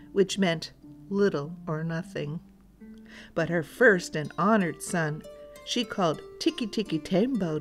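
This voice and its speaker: A woman storyteller narrating a children's folktale as a scripted read-aloud. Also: on the low side.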